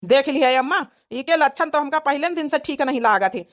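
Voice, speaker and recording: Cartoon role: woman performer as hot-headed young Awadhi village husband, high voice, indignant, complaining delivery. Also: lively intonation, a little fast. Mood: indignant, angry. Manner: indignant, complaining rural speech. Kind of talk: Awadhi comedy cartoon voice acting.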